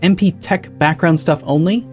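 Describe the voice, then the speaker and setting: A man's voice: a little high, moderate pace, darkish tone. A young man live-streaming a game, chatting casually, correcting himself often and answering chat as he sets things up. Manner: rambling, self-correcting, reads and answers chat. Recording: faint music in the background.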